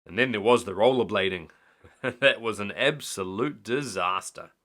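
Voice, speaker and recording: A man's voice: on the low side, moderate pace, a bit bright. A man reading a children's bedtime story aloud expressively, as a storyteller.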